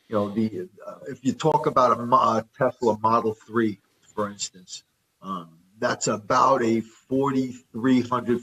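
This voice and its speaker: A man's voice: fairly low pitch, fairly bright tone, low-quality recording. A middle-aged man with a New York accent, talking as a guest from his repair shop. He is practical and plain-spoken, often doubling words while he thinks. There is faint noise behind his remote line. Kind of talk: remote interview.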